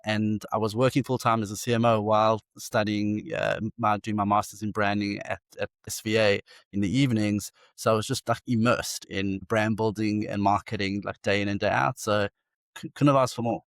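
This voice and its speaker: A man's voice: on the low side, fairly even intonation, dry recording, clean studio sound. A South African man in his forties, telling stories in a casual interview with eager energy and frequent restarts. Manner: enthusiastic, fast storytelling with many restarts. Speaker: agency founder and CEO, guest.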